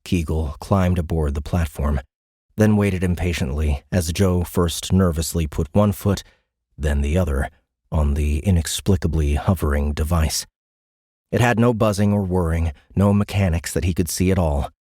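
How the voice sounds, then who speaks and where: Very low-voiced male audiobook narrator. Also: normal speed, mellow, dry recording, clean studio sound. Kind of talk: scripted dramatic narration.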